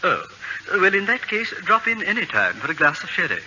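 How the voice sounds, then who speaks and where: A man's voice: high-pitched, wildly swooping pitch, a little fast, bright, forceful tone, full character voice. An actor playing a prissy, ingratiating mother's boy in a radio comedy, with a hesitant, mannered delivery. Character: fawning, prissy adult male neighbour devoted to mother. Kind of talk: 1940s radio comedy acting. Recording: dull, reverberant, noisy old radio recording.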